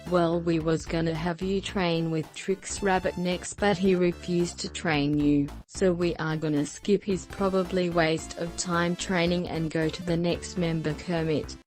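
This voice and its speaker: A man's voice: a little high, flat delivery, measured pace, few pauses, darkish tone, faint music behind, lightly acted. A man voicing a matter-of-fact combat trainer in an amateur cartoon comic dub.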